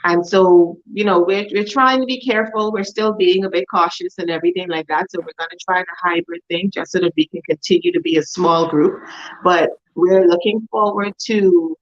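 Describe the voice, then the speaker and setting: A woman from the Bahamas with a Bahamian accent, a guest speaking in a measured, friendly way on a talk show. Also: fairly low pitch, steady tone, lo-fi sound. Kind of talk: casual talk show chat.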